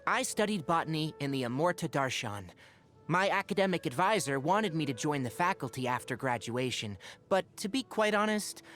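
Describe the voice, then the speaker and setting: Lively, scholarly young fox-eared forest ranger. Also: male voice, a little high, normal speed, faint music behind. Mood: calm, instructive. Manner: articulate, instructive, gently wry. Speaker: video game voice actor. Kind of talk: scripted game character voice lines.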